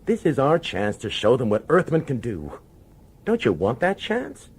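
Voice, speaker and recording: A man's voice: mid-range voice, huge pitch swings, normal speed, a little mellow, breathy edge, heavily acted. An actor playing a middle-aged male police commissioner in a radio drama, nervous and insistent, pleading with urgency. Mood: agitated, urgent. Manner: urgent, hesitant, pleading authority.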